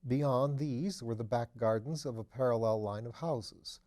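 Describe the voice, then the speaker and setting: A man reading a novel aloud as scripted literary narration, with measured, careful phrasing. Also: medium pitch, darkish tone. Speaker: audiobook reader.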